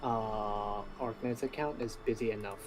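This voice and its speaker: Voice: medium pitch, fairly even intonation, normal speed, a little hiss or hum, low-quality recording. A co-streamer on a call, chiming in with offhand, laid-back remarks. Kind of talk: casual chat.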